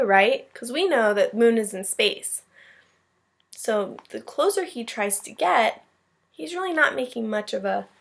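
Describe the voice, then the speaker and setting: Higher-voiced woman teacher reading aloud. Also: creaky. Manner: simple explaining aimed at young children. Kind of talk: storybook read-aloud with explanatory asides.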